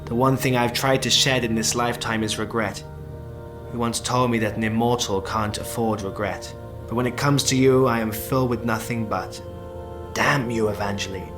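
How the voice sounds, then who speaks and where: A man's voice: medium pitch, fairly even intonation, normal speed, lightly acted. Male actor as swaggering rogue, wry, theatrical, ornate phrasing, audio drama, prominent music underneath. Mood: grieving, tender. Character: sardonic, swaggering immortal rogue, tender in love. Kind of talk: full-cast audio drama acting.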